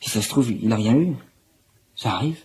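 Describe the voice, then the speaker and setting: An actor playing a fragile, self-doubting young son in a radio drama, answering plainly and briefly, with a gentle wish to reassure. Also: male voice, fairly low pitch, expressive, moderate pace, husky, exaggerated voice. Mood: reassuring. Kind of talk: naturalistic French radio drama dialogue.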